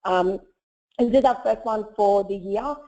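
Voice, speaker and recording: A woman presents over an online meeting, her voice gravelly and croaky with a cold. She talks in a friendly way, with plenty of ums and uhs. The call audio is dull and lo-fi. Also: mid-range voice, measured pace, mellow. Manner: friendly, with frequent fillers. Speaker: webinar presenter, solutions consultant. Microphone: web conference audio. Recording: muffled lo-fi web call audio.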